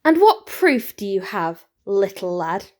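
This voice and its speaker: A woman's voice: medium pitch, very animated, measured pace. A woman playing a weary, sardonic village baker unmasked as a killer, dry and nonchalant, in an audio drama. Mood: wry, resigned.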